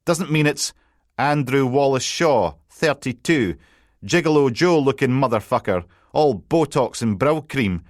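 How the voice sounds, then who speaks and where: A man's voice: medium pitch, no room sound, clean studio sound, pitched above the performer's own voice, natural voice. A man voicing a cocky, needling Scottish newspaper editor in an audiobook. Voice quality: brash, needling.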